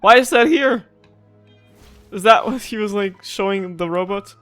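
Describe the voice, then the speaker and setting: A woman's voice: mid-range voice, a little slow, a bit bright. Chatty, emotionally reactive young woman gamer. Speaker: gaming streamer. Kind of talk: casual let's-play commentary. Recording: game music behind voice. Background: video game music.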